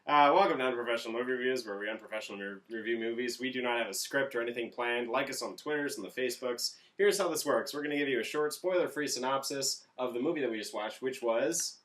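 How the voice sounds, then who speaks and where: A man's voice: mid-range voice, fast talker, hardly any pauses, fairly bright tone, recorded from a distance. A man co-hosting a movie review in casual, unscripted chat, picked up from a little way off. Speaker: co-host of a movie review show.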